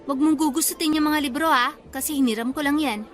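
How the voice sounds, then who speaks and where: Bossy, high-voiced older sister played by a woman. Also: fast talker, some chatter behind, lightly acted. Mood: bossy, offhand. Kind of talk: Filipino radio drama acting.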